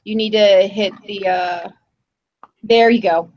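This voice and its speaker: A woman's voice: mid-range voice, steady tone, slow pace, darkish tone, muffled recording. A woman co-hosting a casual livestream, chatty and amused as she reacts to the videos. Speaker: podcast co-host.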